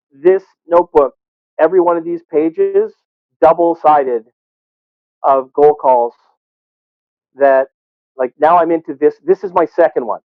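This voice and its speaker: A man telling stories conversationally as an interview guest, often halting and repeating words. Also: fairly high pitch. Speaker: interview guest, sports arena PA announcer. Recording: roomy, thin phone-like call audio.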